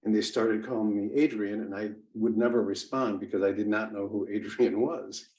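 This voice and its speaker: A man in his sixties whose first language is Italian, telling his life story as an interview guest in a halting way, with many restarts and fillers. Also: on the low side, fairly even intonation, a little mellow, rough recording. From United States. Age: 60s. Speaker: interview guest, business executive. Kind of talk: conversational storytelling.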